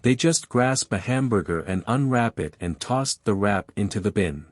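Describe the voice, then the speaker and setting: A man's voice: lowish voice, lively intonation, moderate pace, no room sound, clean studio sound. A man narrating a scripted passage for read-aloud practice. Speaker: narrator of exam reading practice.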